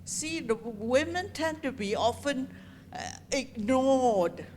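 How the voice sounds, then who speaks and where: Woman fielding questions, higher voice, deliberate and unhurried. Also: animated, darkish tone, slight background noise. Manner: measured, thinking aloud with pauses. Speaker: seminar speaker answering questions. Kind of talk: Q&A answers at a seminar. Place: seminar room in a library. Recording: reverberant seminar hall.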